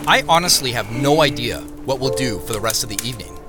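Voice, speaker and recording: An actor as an animated, confiding male diarist. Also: medium pitch, fast talker, lightly acted. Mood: reflective. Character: reflective man narrating his own journey, quietly content. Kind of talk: first-person audio diary narration in a drama. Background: music bed.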